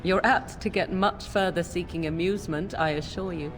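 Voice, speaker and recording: A woman's voice: lowish voice, fairly even intonation, moderate pace, music in the background, natural voice. An actress playing a haughty, mocking swamp witch in a video game, with archaic, teasing phrasing. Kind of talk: video game character acting.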